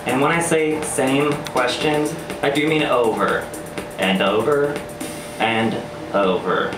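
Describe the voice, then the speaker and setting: A male spoken-word performer tells a scripted personal story in a wry, theatrical way, pitched in an average male range. He is heard in an echoey live venue, a little far from the mic, with electronic beats playing clearly behind him. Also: slow pace. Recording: roomy live venue, distant mic, music. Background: electronic music beats.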